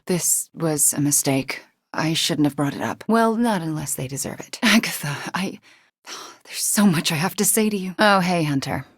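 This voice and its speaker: A woman voicing a young video game sorceress, guilt-ridden, wry and guarded, with an earnest, dry delivery that often drops into a hush. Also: low-pitched, slightly rough, no room sound. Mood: hushed, troubled. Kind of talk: acted video game dialogue.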